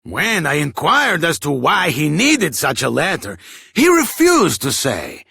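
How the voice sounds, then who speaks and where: A man's voice: a little high, wildly swooping pitch, a little slow, creaky, bright, forceful tone, slightly rough, no room sound, studio quality, exaggerated voice. An actor playing a grand, theatrical and generous king in a fantasy video game, with a pompous, florid manner. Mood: solemn, stately. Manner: pompous, florid, dramatic delivery. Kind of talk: scripted fantasy game voice acting.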